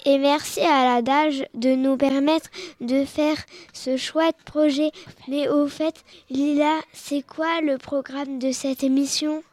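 A woman's voice: sits high, fairly even intonation, takes it slowly, a little mellow. A young co-host of a children's radio show who reads her lines carefully and asks the interview questions. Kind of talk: reading a script, asking interview questions.

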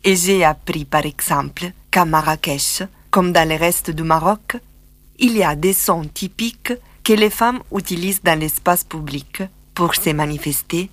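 Woman narrator, reflective and unhurried, slightly low voice. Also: no echo. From southern Italy. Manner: calm reflective storytelling. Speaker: documentary narrator. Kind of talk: scripted narration.

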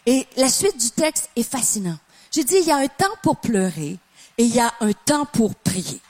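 A woman's voice: a little high, sing-song, moderate pace, darkish tone. A middle-aged woman from Canada with a Québécois French accent, preaching a sermon with passionate emphasis, building to exclamations.

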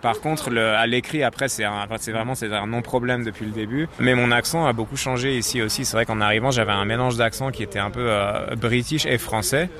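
A man from France with a native French accent speaks as an interview guest. He is easygoing and explains things in informal, conversational phrasing, at a medium pitch. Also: brisk, few pauses, a bit bright. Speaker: interview guest, office professional working from home. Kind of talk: casual conversational interview answers.

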